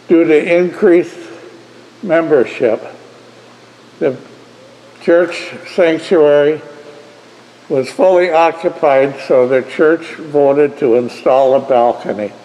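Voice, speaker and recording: A man filming a casual guided tour of an old church, narrating slowly with frequent pauses and restarts. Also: a little high, darkish tone, low-quality recording. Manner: slow, halting narration with restarts. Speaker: church volunteer giving a video tour. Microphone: handheld camera.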